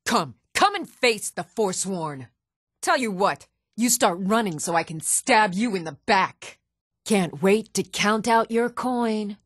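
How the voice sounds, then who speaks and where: A woman's voice: medium pitch, lively intonation, takes it slowly, breathy edge, no room sound, clean studio sound. An actress playing a cruel, gloating female bandit raider in a fantasy video game, menacing and jeering.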